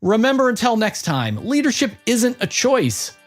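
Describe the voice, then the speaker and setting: A man's voice: very high-pitched, sing-song, moderate pace, faint music behind. A man hosting a podcast in an informal, chatty, conversational way, as in an interview. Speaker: podcast host.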